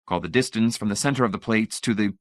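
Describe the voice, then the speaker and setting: A blunt, irritated electronics man, read by a male narrator with a lowish, dry voice. He speaks bluntly and challenges others head-on, his skepticism always close to the surface. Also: fast, no room sound, lightly acted. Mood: exasperated. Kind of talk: audiobook character voice.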